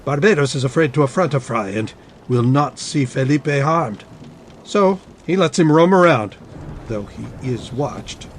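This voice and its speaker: Man acting a smooth, menacing villainous captain. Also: medium pitch, expressive, unhurried, slight background noise, natural voice. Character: scheming, coercive male army captain, a suave villain. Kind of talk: dramatic audiobook reading. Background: ambience under the voice.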